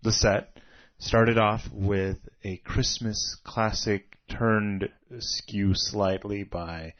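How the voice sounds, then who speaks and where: A man with a deep voice hosts a casual radio music show from a studio, wandering through band history at an easy ramble. His voice sits very low, and the dull, lo-fi recording takes the shine off it. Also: steady tone, slow pace, fairly bright tone. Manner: rambling, chatty about music trivia. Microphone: studio microphone.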